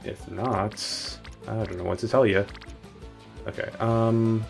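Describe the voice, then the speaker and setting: A man with a lowish voice talks his way through a game stream in a loose, rambling, joking way, often backtracking and fixing his words mid-sentence. Quiet music sits faintly underneath. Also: steady tone, slow, creaky. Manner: rambling, self-correcting, jokey. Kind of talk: casual game-stream commentary.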